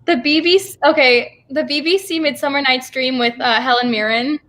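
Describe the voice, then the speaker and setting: A woman's voice: high voice, normal speed, a bit bright. A young adult woman chatting casually and informally as an interviewee about her work. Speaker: interviewee, theater company co-founder.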